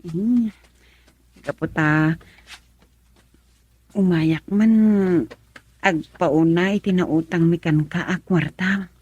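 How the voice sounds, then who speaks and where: A woman's voice: lowish voice, slow, pauses often, a little mellow, breathy edge, no room sound, lo-fi sound. A woman acting a hard-up, apologetic farmer's wife and mother in an Ilocano radio drama, plaintive and hesitant. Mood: friendly, hesitant.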